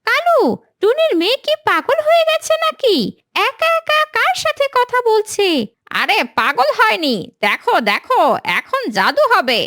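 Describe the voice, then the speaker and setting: Shrill, swooping greedy crow. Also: female voice, big pitch swings, unhurried, a bit bright, dry recording, clean studio sound, heavily acted. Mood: astonished, sneaky. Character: greedy, scheming, excitable crow.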